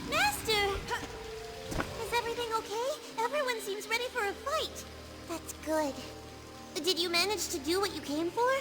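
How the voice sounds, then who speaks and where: A devoted child apprentice in a video game, with a very high, small voice. The manner is earnest and eager to help, over clear background music. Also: female voice, normal speed. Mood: eager, concerned. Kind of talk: video game character acting.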